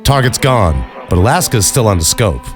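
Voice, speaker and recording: A man's voice: on the low side, sing-song, normal speed, soft background music. An actor playing a boisterous, teasing male air traffic supervisor in an audio drama. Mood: urgent, commanding.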